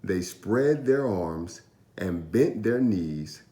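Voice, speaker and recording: Animated male storytime reader, very lively pitch. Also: drawn-out words, echoey room. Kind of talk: expressive children's read-aloud narration.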